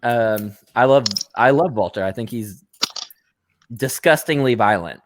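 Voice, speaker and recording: A man losing his voice from yelling, talking in a heated, profane way during a casual podcast chat. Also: mid-range voice, a little slow, noisy background. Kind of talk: casual chat among friends.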